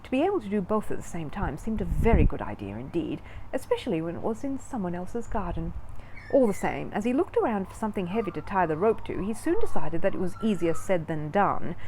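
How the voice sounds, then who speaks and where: Animated woman reading a children's story. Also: lowish voice, brisk, background noise. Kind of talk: expressive children's audiobook narration.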